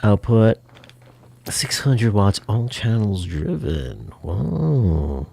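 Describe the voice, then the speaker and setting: Gravelly, husky man chatting casually. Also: on the low side, animated, very slow, dark tone. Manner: informal, thinking aloud as reads specs. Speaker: host and speaker reviewer. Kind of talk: casual chat about audio gear.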